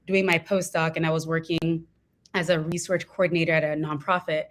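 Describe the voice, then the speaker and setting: Measured, reflective middle-aged woman guest from California, slightly low-pitched. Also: flat delivery. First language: Igbo. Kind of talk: casual interview chat.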